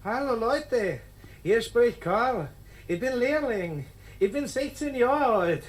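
An actor playing a naive sixteen-year-old apprentice who recites his lines haltingly in a satirical radio play. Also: very high-pitched, expressive, exaggerated voice. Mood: naive pride.

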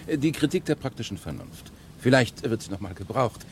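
An actor playing an earnest old ethics professor, formal and lecturing, in a German radio play. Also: male voice, medium pitch, sing-song, moderate pace, a little hiss or hum. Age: older.

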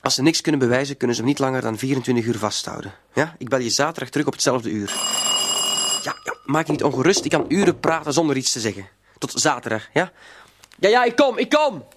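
An actor playing a stubborn, guarded blackmailer in a radio drama, curt and defiant. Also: male voice, mid-range voice, lively intonation, quick pace, background noise, close to an everyday voice. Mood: wary. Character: cagey, defiant male blackmailer, stubborn small-time crook. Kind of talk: radio drama acting in dialogue.

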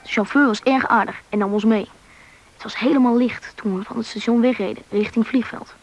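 A young performer playing a curious, eager Russian boy in a radio play, with a youthful, slightly husky voice, in a muffled old recording. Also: mid-range voice, steady tone, a little fast, faint music behind, natural voice. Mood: recounting. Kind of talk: radio play narration and dialogue.

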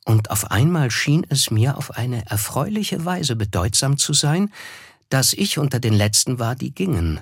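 An actor playing a reflective, reserved young man who narrates his past in measured literary German for a radio play. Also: medium pitch, big pitch swings, gravelly, slightly rough, no echo, studio quality, heavily acted.

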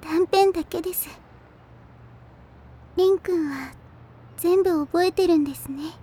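An actress playing a gentle, devoted, emotionally fragile teenage girl in an anime audio drama, speaking politely and hesitantly. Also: very high-pitched, a little slow. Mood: hesitant, wistful. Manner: soft-spoken, polite, hesitant.

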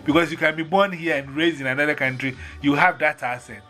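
A man's voice: a little high, fast, sharp tone, faint music behind. A man from Ghana with a Ghanaian accent, telling stories in casual chat and acting out both sides of the conversations.

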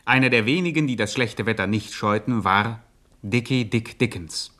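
A man giving measured, mock-dramatic scripted narration for a radio crime satire. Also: medium pitch, sharp tone.

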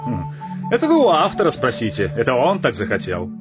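An actor playing an easygoing, matter-of-fact gentleman in a comic radio play, polite and nonchalant. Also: medium pitch, huge pitch swings, a little fast, over music.

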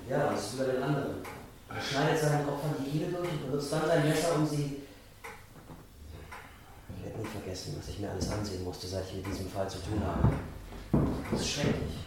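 An actor playing an earnest, urgent police investigator and family friend in a German radio drama. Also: male voice, mid-range voice, a little fast, pauses often, lightly acted. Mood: shaken, urgent. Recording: very echoey, distant, clear background noise.

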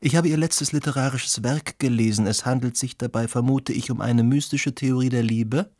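An actor playing an eloquent, worldly and uncanny gentleman in a radio play, speaking formally and musingly in a husky voice. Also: medium pitch, normal speed, no echo, studio quality, lightly acted. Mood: courteous, curious.

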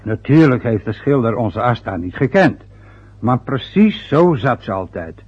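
A man's voice: mid-range voice, very animated, a little slow, husky. An older man playing a stubborn, sarcastic father in a radio drama. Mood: aggrieved. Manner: grumbling, sarcastic. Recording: dull lo-fi old radio recording.

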